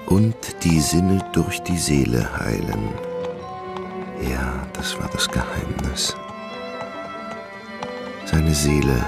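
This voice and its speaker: Super deep guy narrating a radio play, gravelly, dramatic storytelling, guitar music underneath. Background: plucked guitar music.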